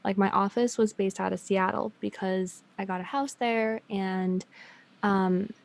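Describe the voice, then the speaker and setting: A woman's voice: mid-range voice, steady tone, measured pace, gravelly. A young woman from China raised in Canada, with a Canadian accent tinged slightly Chinese, chatting casually with lots of ums and restarts. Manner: chatty, laughs easily, many ums and restarts. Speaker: guest. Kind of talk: casual chat.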